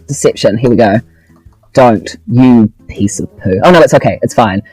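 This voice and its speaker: A man's voice: medium pitch, normal speed, darkish tone, husky, dry recording, faint music behind, low-quality recording. A man playing a tabletop role-playing game, talking casually with the group as a player.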